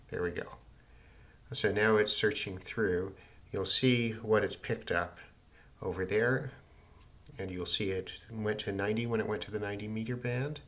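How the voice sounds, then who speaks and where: A man's voice: lowish voice, fairly bright tone. A man giving a casual, unscripted demonstration, matter-of-fact and thinking aloud as he goes. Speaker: radio hobbyist demonstrating a receiver. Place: indoors at home in a city.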